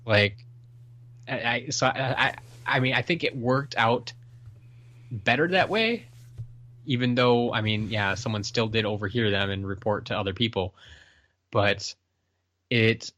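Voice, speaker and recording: A man in casual podcast chat, co-hosting with a middle-pitched voice. He talks haltingly, full of restarts and fillers, while reading listener mail. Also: fairly bright tone. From Washington State, US. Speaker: podcast co-host.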